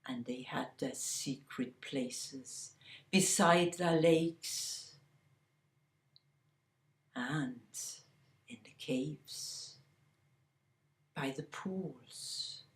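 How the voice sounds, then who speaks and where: A woman telling a fairy tale slowly and deliberately, sometimes dropping to a hushed whisper. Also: a bass voice, roomy sound. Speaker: storyteller. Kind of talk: oral fairy-tale storytelling.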